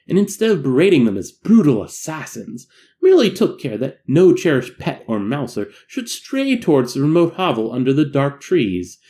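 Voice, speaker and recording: A man reading a classic tale aloud as a narrator, in a dramatic, measured and solemn storytelling manner. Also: mid-range voice, mellow. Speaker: amateur audiobook narrator.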